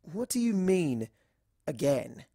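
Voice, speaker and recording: Man as animated, eccentric pony doctor. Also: fairly high pitch, slow, vocal fry, no echo, clean studio sound, full character voice. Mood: puzzled, deflated. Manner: theatrical, earnest, expressive. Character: eccentric, dramatic male pony doctor and time traveller. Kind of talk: storybook character dialogue.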